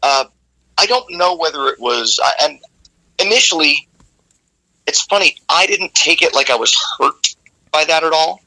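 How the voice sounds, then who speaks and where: Gravelly male rock vocalist guest, bright cutting tone, candid and animated storyteller. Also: on the high side, normal speed, pauses often, poor audio. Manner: candid, animated, casually profane. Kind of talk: casual interview storytelling.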